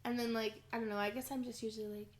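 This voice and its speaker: A young woman co-hosting a casual podcast, still hoarse and wheezy from being sick, talking in a laid-back way. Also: a little high, flat delivery, very fast, very noisy. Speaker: podcast co-host, teacher. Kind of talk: casual chat between sisters.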